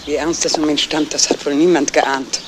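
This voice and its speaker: A woman's voice: low voice, steady tone, normal speed, natural voice. An actress playing a stern, guarded middle-aged woman with clipped, formal, defensive answers in a 1970s German crime radio play. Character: stern, guarded sister of a dead man, former nurse. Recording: noisy old radio play recording.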